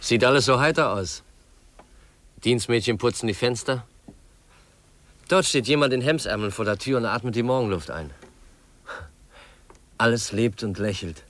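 An actor playing a pensive, reserved young heir with a measured way of talking, in 1950s German radio drama. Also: male voice, mid-range voice, fairly bright tone, lightly acted. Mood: musing. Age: young adult. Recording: slightly noisy 1950s radio recording.

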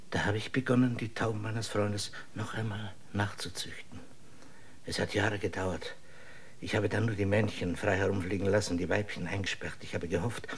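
A man playing a grave, cautious dissident scientist in a German radio drama, husky and measured. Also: lowish voice. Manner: measured, deliberate, serious.

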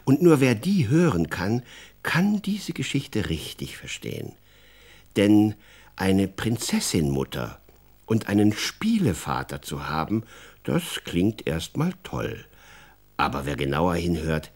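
A man's voice: on the low side, wildly swooping pitch, slow pace, creaky, a little mellow, husky. A man narrating a scripted children's story, addressing his young listeners directly as a storyteller.

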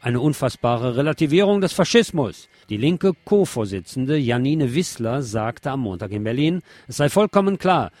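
A man's voice: mid-range voice, very animated, moderate pace, a little mellow, no room sound. A man presenting on the radio, reading scripted news and adding commentary.

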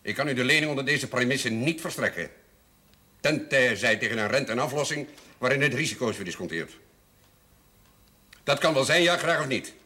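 A man's voice: mid-range voice, lively intonation, normal speed, pauses often, bright, forceful tone. An older man playing a pompous, sardonic, shrewd village notary and moneylender in a television drama, speaking in formal, ironic phrases. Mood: brusque, businesslike. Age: older, over 50. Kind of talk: theatrical television drama dialogue.

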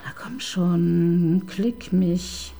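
An actress playing a sharp, bossy female chief inspector in a radio crime drama, ordering her assistant around with impatient sarcasm. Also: fairly low pitch, extremely slow, creaky, exaggerated voice. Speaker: police detective chief inspector.

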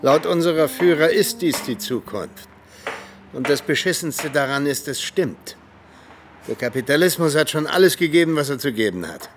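An actor playing a disillusioned, hot-headed ageing former Soviet agent in a radio drama, with a sardonic manner. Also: male voice, fairly high pitch, lively intonation, normal speed, faint music behind. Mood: bitter, heated. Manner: heated, sardonic speechifying.

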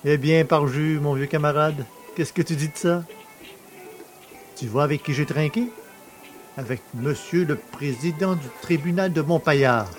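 An actor playing a jovial, boastful country poacher in a French audio drama, chummy, cheeky and colloquial. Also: male voice, fairly high pitch, measured pace, soft background music, lightly acted. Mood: boastful teasing. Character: jovial, boastful, familiar rural poacher just freed.

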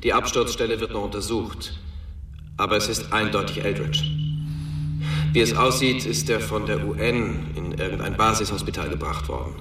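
An actor playing a sober, deferential security chief, formal and measured, reporting over a video phone in a radio drama. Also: male voice, fairly low pitch. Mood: grave report. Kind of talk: German radio drama acting. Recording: echoey, distant, faint music.

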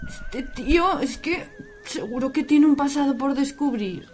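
A woman's voice: sits high, wildly swooping pitch, a little slow, a little hiss or hum, full character voice. A woman playing a suspicious, melodramatic daughter in a comic radio serial.

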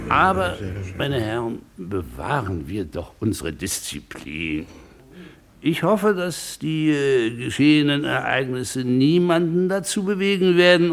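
An actor playing a pompous, blustering older club president and stern father in a 1950s German radio play, with an oratorical, gruff manner. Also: medium pitch, a bit bright, exaggerated voice.

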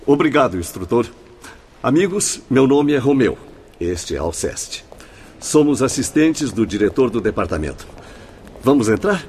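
A man's voice: medium pitch, big pitch swings, a little slow, a little mellow, a little hiss or hum. An actor playing a friendly, attentive young male hospital assistant in a radio drama, speaking cordial Portuguese with a Brazilian accent. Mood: friendly, welcoming.

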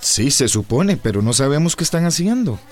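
A man's voice: a little high, sing-song, brisk, a little mellow. An actor playing a respectful, somewhat hesitant male relief worker in a radio drama. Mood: matter-of-fact.

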